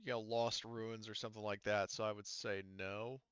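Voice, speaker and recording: A man acting as game master in casual tabletop talk, haltingly thinking aloud as he explains. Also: lowish voice, level tone, a bit bright, clean tone, no echo, lo-fi sound.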